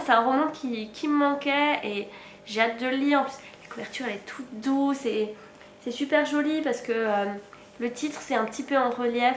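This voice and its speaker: Young woman vlogging about books w a cold, high voice, echoey room, faint rumble behind. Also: fairly bright tone. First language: French. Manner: chatty, frank, with sighs and little laughs. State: sick with a stuffy nose. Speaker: book vlogger. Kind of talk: casual reading update.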